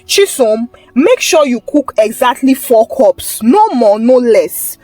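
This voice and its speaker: A woman's voice: fairly high pitch, sing-song, takes it slowly, a little mellow, no room sound, heavily acted. A woman voicing a stern, exasperated auntie in a folktale, scolding her greedy niece. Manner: scolding, suspicious, interjections like "oh" and "sha".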